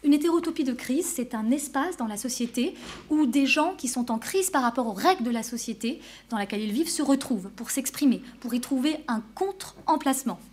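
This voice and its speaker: A woman's voice: high-pitched, animated, brisk, a little mellow, slight background noise. A woman researcher presenting at a conference panel, then answering questions with frequent restarts and hesitations. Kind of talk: academic conference talk and Q&A.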